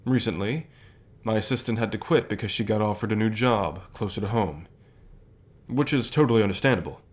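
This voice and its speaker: A man playing a calm, encouraging company boss in an ASMR roleplay, speaking in a measured, unhurried way with pauses. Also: fairly low pitch, close to an everyday voice. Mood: warm, sincere. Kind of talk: ASMR roleplay, softly acted one-sided dialogue.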